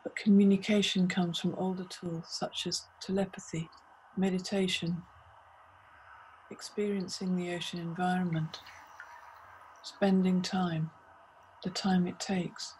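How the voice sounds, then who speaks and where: A woman leading a scripted guided meditation, speaking in short, deliberate, measured phrases. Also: lowish voice, flat delivery, a little mellow, slight background noise, low-quality recording.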